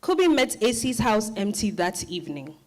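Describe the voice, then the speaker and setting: A woman's voice: medium pitch, very animated, moderate pace. A woman narrating a scripted dramatized reading as storyteller.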